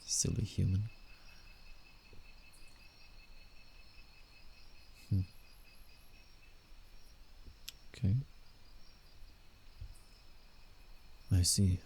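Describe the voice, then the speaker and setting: A man voicing a gentle, protective werewolf in slow roleplay ASMR, hushed and soothing with a slightly condescending air and a low, gravelly voice. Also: full character voice. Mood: coaxing, serious. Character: gentle, protective male werewolf guiding a fragile human. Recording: steady night insect ambience, background noise. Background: crickets and night forest ambience.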